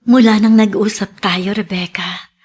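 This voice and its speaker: A woman's voice: mid-range voice, steady tone, a little slow, darkish tone, slightly rough. An actress playing a tender, forgiving mother who longs for her child, earnest and emotional in melodrama style. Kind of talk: Filipino melodrama acting.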